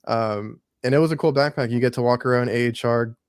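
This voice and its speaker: A man's voice: mid-range voice, a little fast, smooth, dry recording, clean studio sound. A man in his mid-thirties hosting a podcast, easygoing and casual, often repeating words as he thinks. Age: 30s. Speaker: podcast host.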